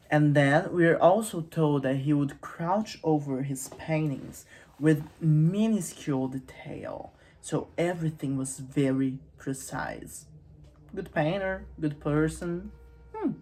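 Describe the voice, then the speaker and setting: A woman's voice: low-pitched, darkish tone, husky. A woman hosting a casual, humorous literary commentary, with wry, irreverent asides in a conversational way. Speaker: host of a literary channel.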